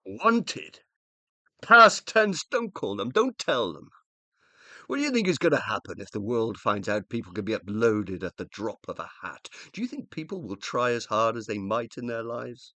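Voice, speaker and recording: An actor in a radio play voicing the uploaded mind of a dead scientist, self-reproaching and loving, with an emotional, hesitant, confessional delivery. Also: male voice, mid-range voice, big pitch swings, normal speed, bright, forceful tone, dry recording. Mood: urgent, alarmed.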